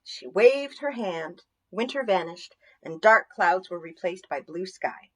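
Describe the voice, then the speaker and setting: A woman storyteller reading scripted narration for children in an expressive, deliberate manner. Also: fairly low pitch.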